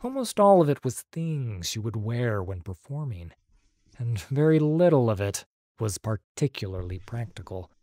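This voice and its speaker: Guy narrating an audiobook, slow and expressive, warm dark tone, dry close sound. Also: medium pitch, dry recording. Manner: measured and expressive.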